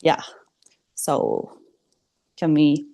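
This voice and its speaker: A woman's voice: low-pitched, wildly swooping pitch, creaky, warm tone. Turkish woman presenting over a video call. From Turkey. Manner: hesitant, many ums, quick bursts. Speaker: student presenter. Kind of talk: online presentation.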